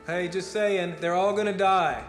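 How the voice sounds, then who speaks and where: A man's voice: mid-range voice, unhurried, gravelly, fairly bright tone. An actor playing a rambling, fatalistic party guest who holds forth philosophically in a naturalistic film monologue, building his argument step by step in a conversational lecture. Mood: fervent, fatalistic. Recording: roomy film dialogue with music behind. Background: music.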